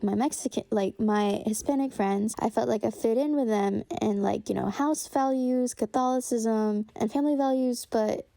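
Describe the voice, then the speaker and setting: A woman's voice: a little high, vocal fry, warm tone, no room sound. A young woman reflecting on her family heritage in a wistful, unscripted way, full of fillers and restarts. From United States. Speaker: storyteller sharing her family history.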